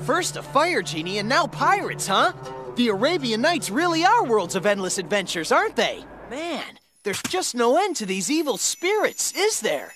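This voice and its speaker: A brash young hedgehog hero from a video game, with a high voice that swoops up and down. He talks in a cocky, wisecracking way over game music. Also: sing-song, normal speed, fairly bright tone, full character voice. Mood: wry. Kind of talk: video game cutscene voice acting.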